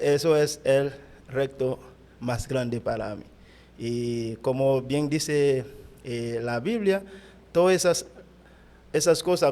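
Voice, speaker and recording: A middle-aged Haitian man, a pastor whose first language is Haitian Creole, speaking Spanish haltingly with a Haitian accent in an interview. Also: medium pitch, a little mellow. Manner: halting, searching for words in Spanish. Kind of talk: interview answers.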